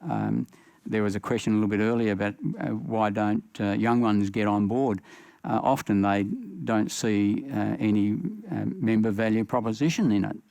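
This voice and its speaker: Halting older Australian man, gravelly. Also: lowish voice, fairly even intonation, a little mellow, no room sound. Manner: halting, many uh fillers. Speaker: panelist. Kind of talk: panel discussion.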